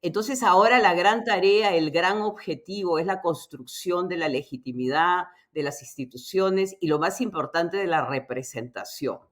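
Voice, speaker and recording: A woman hosting an academic discussion, speaking emphatically and deliberately with frequent tag questions. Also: lowish voice, fairly bright tone. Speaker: host. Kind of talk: interview introduction, academic discussion.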